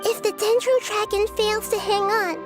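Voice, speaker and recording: A woman voicing a small, gentle, thoughtful child goddess of wisdom in a fantasy game, soft, girlish and articulate. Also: extremely high pitch, steady tone, normal speed. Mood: alarmed. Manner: careful, articulate reasoning. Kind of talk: fantasy video game dialogue. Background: light background music.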